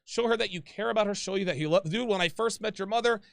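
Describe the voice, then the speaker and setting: A man's voice: high voice, quick pace, vocal fry, fairly bright tone, no echo. A man hosting a live call-in advice show, talking casually, repeating himself and calling people "dude".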